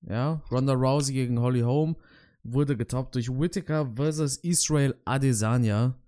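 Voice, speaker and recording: A man hosting a casual combat-sports podcast. He talks in easygoing chat and often hesitates and restarts mid-sentence. His voice sits at an ordinary middle pitch for a man. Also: moderate pace, mellow. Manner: hesitant, many fillers and restarts. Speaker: podcast co-host.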